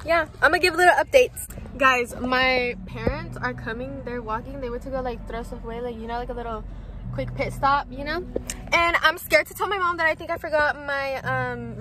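Casting: American teen girl, high voice, bubbly slangy travel vlogger, recorded on a phone with clear background noise. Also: sharp tone. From California, United States. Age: teens. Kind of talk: casual chatty vlog. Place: car, outdoor stops and hotel room. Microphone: phone at arm's length. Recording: noisy handheld phone, road and street ambience.

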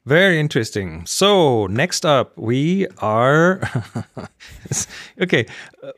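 A man's voice: medium pitch, sing-song, takes it slowly, fairly bright tone, no room sound, studio quality. A middle-aged man co-hosting a podcast, casually thinking aloud in an inquisitive, conversational way. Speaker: podcast co-host. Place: indoor room on a video call. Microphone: close microphone, headset.

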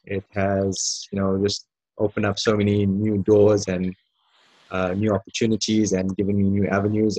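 A South African man giving an online business presentation in a measured, deliberate way. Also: lowish voice, no echo, poor audio. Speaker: guest presenter. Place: indoor room, on a video call.

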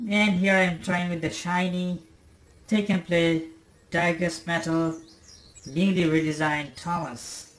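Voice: medium pitch, steady tone, a little slow, fairly bright tone, a little hiss or hum, rough recording. An adult hosting a toy channel, giving casual commentary on a toy train race with frequent fillers and restarts.

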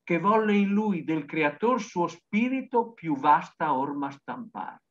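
Older man, impassioned and declamatory, very animated intonation, dull video-call sound. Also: on the high side, a little slow. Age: older, 60s to 70s. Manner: dramatic, declamatory, emphatic. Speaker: novelist guest. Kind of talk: impassioned spoken reflection in an interview. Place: book-lined study indoors, on a video call. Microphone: computer video-call microphone.

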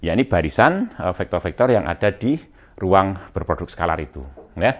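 Deep-voiced older Indonesian male lecturer. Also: lively intonation. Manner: halting, with many hesitation sounds and repeated check words. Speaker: university physics lecturer. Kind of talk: chalkboard lecture, explaining as he writes. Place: indoor room in front of chalkboards.

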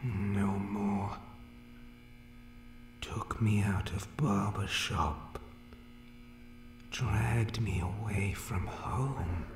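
Monster barber for horror ASMR: obsessive creature, whispered broken phrases, very slow delivery, roomy echo. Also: male voice, fairly low pitch, background noise. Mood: mournful, obsessive. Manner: broken, clipped speech with repeated words. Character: monstrous male barber creature from dark places, obsessed with cutting.

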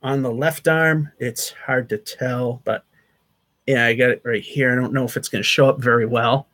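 A man's voice: medium pitch, steady tone, moderate pace, a bit bright. Man in his thirties, chatty and rambling, casual vlog, webcam mic, small attic room. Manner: rambling, chatty, with fillers and restarts. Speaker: channel host. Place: small attic room with sloped wood-paneled ceiling. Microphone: webcam at desk distance.

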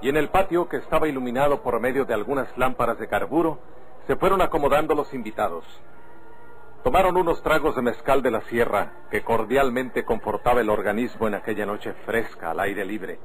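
A man's voice: medium pitch, a little fast, faint music behind, natural voice. A man playing a composed storytelling narrator of a golden-age radio drama, with a Mexican accent. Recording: faintly noisy old radio recording.

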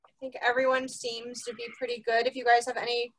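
A woman's voice: a little high, little pitch movement, quick pace, low-quality recording. A woman co-hosting an online session, chatting casually with an informal, joking manner. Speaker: co-host of an online cooking series.

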